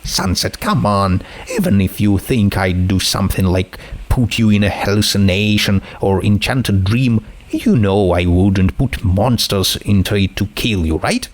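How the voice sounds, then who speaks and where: A man voicing a calm, reassuring teenage girl with a reasonable, gently dismissive manner in a story reading. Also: on the low side, a little slow, few pauses, lightly acted. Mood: puzzled, coaxing. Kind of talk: fan fiction character reading.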